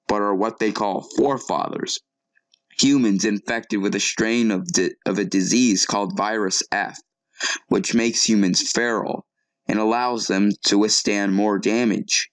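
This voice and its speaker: A man's voice: lowish voice, dry recording, natural voice, low-quality recording. A man playing an earnest TV news anchor in an audio drama, talking in a conversational, sometimes hesitant way. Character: male TV news anchor, earnest, shaken but composed. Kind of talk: acted local TV newscast.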